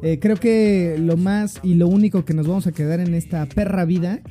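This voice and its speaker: A man's voice: high voice, steady tone, normal speed, mellow. A young man with a Mexico City accent hosting a podcast, joking around in loose, slangy casual chat, a bit tipsy. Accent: Mexico City Spanish. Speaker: podcast host.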